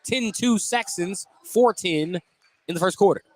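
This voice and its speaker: A man's voice: high-pitched, unhurried, slight background noise, rough recording. A man with an American accent doing live radio play-by-play commentary of a basketball game in clipped phrases. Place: indoor basketball gym.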